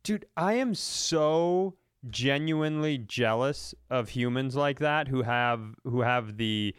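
A man's voice: mid-range voice, slow, clean tone, no room sound, studio quality. A man co-hosting a podcast, telling jokey, profane stories in a casual chat and acting out bits of dialogue. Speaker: podcast co-host. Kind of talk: casual comedic chat and storytelling.

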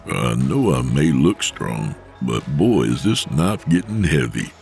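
Menacing male enforcer, voiced by a man, deep growl, gravelly, slow and threatening. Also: big pitch swings, a little mellow, soft background music, full character voice.

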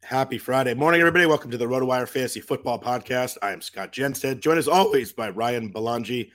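A man whose voice is hoarse from a cold hosts a casual sports podcast at a middle pitch. He talks in a chatty way, restarting phrases and dropping fillers. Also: fast, fairly bright tone. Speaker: podcast host.

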